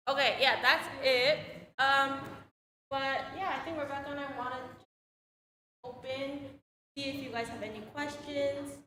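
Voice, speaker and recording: A young American woman whose first language is Korean gives a casual, chatty presentation to students, with plenty of fillers and restarts. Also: high voice. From United States. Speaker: guest speaker. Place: large school hall. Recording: echoey hall with audience noise.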